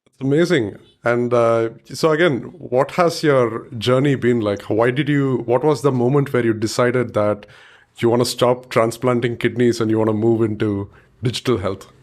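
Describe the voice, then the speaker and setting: A man's voice: medium pitch, fairly even intonation, normal speed, darkish tone. Casual male podcast host. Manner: casual questioning with fillers. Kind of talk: podcast interview.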